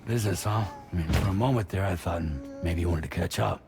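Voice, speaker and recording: A man playing a weary, wary loner courier in a sci-fi game, terse and halting. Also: music in the background. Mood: wary, dry. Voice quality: low, gravelly. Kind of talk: cinematic game dialogue.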